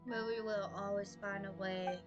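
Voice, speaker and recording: A woman's voice: mid-range voice, little pitch movement, moderate pace, muffled recording. A young woman doing casual, playful commentary as she plays through a video game. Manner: playful, silly. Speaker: game streamer. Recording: loud game music behind voice.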